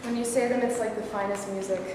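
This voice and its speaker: A woman's voice: mid-range voice, steady tone, quick pace, a little mellow, close to an everyday voice. A woman acting a worried, doting middle-aged mother in a staged reading, with a fretful manner. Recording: very echoey hall, noisy.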